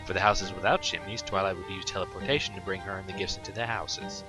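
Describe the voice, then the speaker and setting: Low-voiced man narrating over loud music. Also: fairly even intonation, fast. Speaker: co-host, narrator. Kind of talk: scripted fan fiction narration. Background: loud background music.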